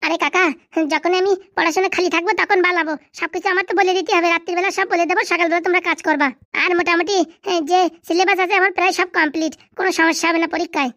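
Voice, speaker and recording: A performer with a high voice playing a cheeky, brash schoolboy in a Bengali comedy cartoon, with a colloquial Bengali accent. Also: steady tone, rapid-fire, pushed and bright, dry recording, studio quality, full character voice. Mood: brash, excited.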